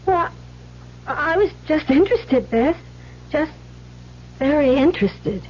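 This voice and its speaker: An actress playing a gentle, earnest former nun in an old radio drama, hesitant and polite. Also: female voice, high voice, animated, a little slow. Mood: gently probing. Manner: hesitant, polite, soft-spoken. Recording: muffled, noisy vintage radio recording.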